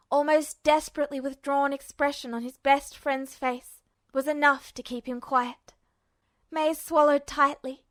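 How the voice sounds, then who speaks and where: A woman reading fiction aloud as an expressive audiobook narrator. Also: sits high, no echo.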